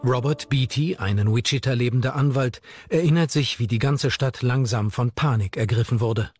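A man's voice: mid-range voice, moderate pace. A man reading scripted true-crime documentary narration. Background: background music.

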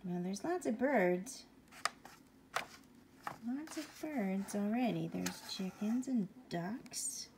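A woman reading a picture book aloud to young children, gently and often hushed, asking the listener questions as she goes. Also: medium pitch, animated, takes it slowly, pauses often, noisy background. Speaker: bedtime story reader.

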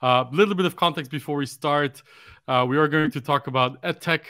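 A man in his forties, a native Dutch speaker, hosting an interview podcast over a video call with a headset. He speaks in a measured way, starting many phrases with "uh". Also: a little high, bright, forceful tone, dry recording, studio quality. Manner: measured, fills pauses with "uh". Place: room at home, indoors.

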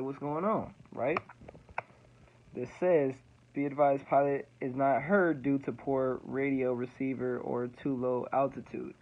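A young man in his twenties talks casually and slangily, circling back on his points as he explains. The sound is dull and lo-fi, recorded on a phone. Light hiss runs underneath. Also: medium pitch. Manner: casual slangy, repeats himself. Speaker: student pilot explaining recordings. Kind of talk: casual explainer over playback.

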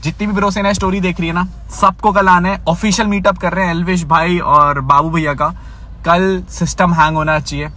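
A man's voice: sits high, flat delivery, a little fast, sharp tone. A man recording a selfie phone video, urgently announcing a meetup to his fans.

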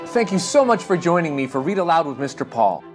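A middle-aged man reads a picture book aloud as host, in an expressive, dramatic manner. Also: a little high, a little fast, over music. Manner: animated, dramatic. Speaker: children's storybook reader and show host.

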